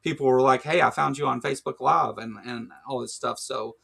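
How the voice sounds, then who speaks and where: A man's voice: medium pitch, steady tone, fairly quick, gravelly, fairly bright tone. A man with a Kentucky accent co-hosting a casual livestream chat, rambling with lots of fillers and jokes. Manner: rambling, filler-heavy, joking. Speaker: podcast co-host.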